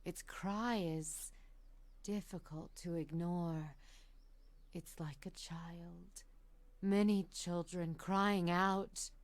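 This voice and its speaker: A woman playing an ancient, gentle seer in a fantasy video game, speaking softly and solemnly in halting phrases. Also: fairly low pitch, fairly even intonation. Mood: haunted, hushed. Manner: soft, slow, halting, solemn delivery.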